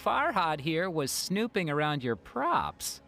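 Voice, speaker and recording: A woman's voice: low voice, slow pace, faint music behind, full character voice. A playful, teasing theater girl. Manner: teasing, animated. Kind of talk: game voice acting.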